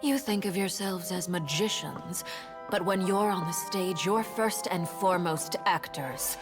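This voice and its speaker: An actress playing a commanding, exacting mentor in a game trailer, stern and theatrical. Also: female voice, lowish voice, a little slow, lightly acted. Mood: cool, commanding. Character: commanding, exacting female mentor of stage performers. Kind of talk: scripted game trailer monologue. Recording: clear music behind.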